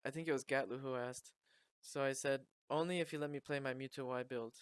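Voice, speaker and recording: A man's voice: medium pitch, fairly even intonation, a little fast, clean tone, no room sound, studio quality. A man hosting a podcast, chatting and asking questions in a casual, conversational interview style. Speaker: podcast host.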